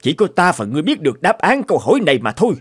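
A man's voice: on the high side, wildly swooping pitch, racing, slightly rough, no room sound, heavily acted. An actor playing an imperious, bullying cartoon king who scolds and threatens haughtily. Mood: menacing, accusing. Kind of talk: dubbed cartoon fairy tale acting. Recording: music behind the voice.

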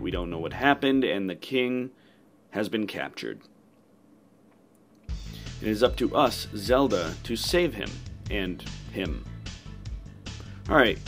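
A man hosting a casual video game playthrough commentary. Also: fairly low pitch. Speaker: gaming channel host.

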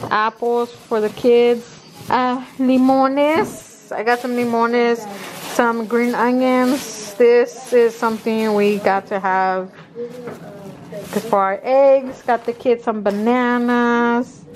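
A woman's voice: on the high side, a little hiss or hum. A woman in her thirties chatting casually at home as she shows her grocery haul to the camera, rambling with fillers. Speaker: vlogger. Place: home kitchen, indoors.

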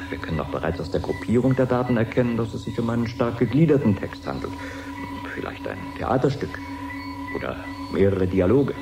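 An actor playing a sober research scientist in a radio play, measured, precise and factual. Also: male voice, mid-range voice, creaky.

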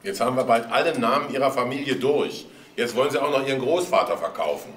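An actor playing a sardonic, probing police detective with dry, mocking politeness in a crime audio drama. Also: male voice, mid-range voice, fast, fairly bright tone, reverberant, slight background noise, natural voice. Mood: sceptical.

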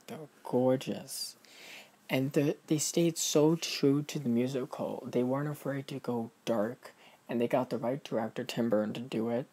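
A man talks through a film review in a loose, casual vlog style, full of praise. His delivery is emphatic and gushing, and he often stops and restarts mid-sentence as he hunts for words. His voice sits at a middling pitch. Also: darkish tone, slightly rough.

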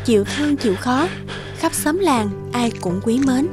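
A woman's voice: high-pitched, animated, measured pace. A woman reading scripted narration for a children's folk tale. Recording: children's music behind the voice.

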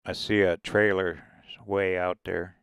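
Gravelly-voiced man narrating a desert drive. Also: sits low, measured pace, dry recording, studio quality. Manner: relaxed, rambling, points out sights as he goes. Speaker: RV travel vlogger. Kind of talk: casual narrated drive and drone tour. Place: inside a vehicle driving desert roads.

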